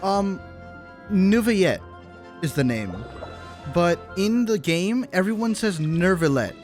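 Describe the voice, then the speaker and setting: A man's voice: high voice, big pitch swings, takes it slowly, a little mellow. A man livestreaming a game, chatting informally and rambling to his chat in slangy commentary. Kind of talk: casual live commentary to chat. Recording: game music behind the voice. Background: video game music.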